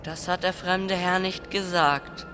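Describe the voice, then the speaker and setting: A woman's voice: fairly low pitch, steady tone, takes it slowly, fairly bright tone, faint music behind. A woman playing a cunning thirteen-year-old boy in a radio play, coolly insistent and mock-polite. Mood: sly, mock-polite. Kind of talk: German radio play acting.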